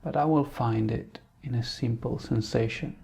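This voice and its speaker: A man leading a guided meditation in a calm, unhurried manner, leaving long pauses. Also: medium pitch, vocal fry, slight background noise.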